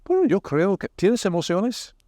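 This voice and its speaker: A man hosting a podcast, asking his guest questions and explaining the topic in a measured, friendly way. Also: high-pitched, very animated, dark tone, no echo, studio quality. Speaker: podcast host.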